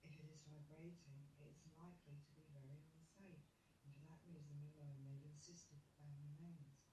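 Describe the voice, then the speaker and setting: A woman voicing a gentle, placating female friend in an audio drama, measured and hushed. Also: low voice, flat delivery, roomy sound, distant mic, noisy background, voice pushed down, lightly acted. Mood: calm, resolute.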